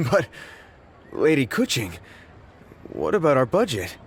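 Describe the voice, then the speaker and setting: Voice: medium pitch, wildly swooping pitch, takes it slowly, slight background noise. A voice actor playing a meek subordinate worker in a game, hesitant and deferential. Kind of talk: game cutscene voice acting. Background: game music.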